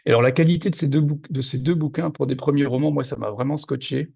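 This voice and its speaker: Older man, native Platt speaker, from Moselle, dark husky voice, hesitant restarts, dull video call audio. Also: on the high side, fast. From Moselle-Est, France. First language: Lorraine Franconian (Platt). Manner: hesitant, many restarts, animated. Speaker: author. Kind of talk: casual literary discussion.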